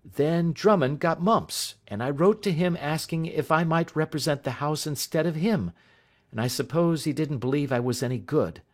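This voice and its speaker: A man's voice: a little high, normal speed, voice pushed up, close to an everyday voice. A man reading aloud, voicing a nervous, polite teenage schoolboy who answers a teacher hesitantly. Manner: hesitant, polite, saying sir.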